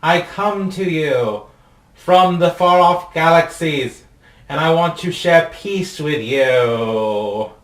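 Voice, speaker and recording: A man's voice: fairly high pitch, expressive, takes it slowly. Man voicing a grand, echoey, peaceable alien. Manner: grand, formal. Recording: echoey room, faint music.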